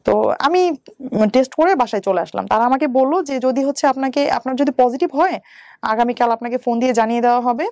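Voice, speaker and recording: A woman's voice: fairly high pitch, fast, a little mellow, dry recording. A woman in her thirties with a Bangladeshi accent, telling a personal story step by step as an interview guest, with some English words mixed in. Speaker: interview guest, bank employee.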